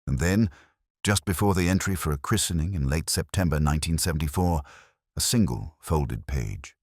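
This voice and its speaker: A man narrating a scripted first-person detective story in a measured, reflective, literary manner. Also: extremely low pitch, animated, darkish tone, dry recording, clean studio sound.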